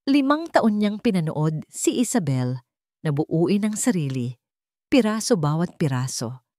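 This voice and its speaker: Woman, Filipino accent, lowish pitch, dry recording, dramatic story narration, measured and emotive. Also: dark tone, dry recording.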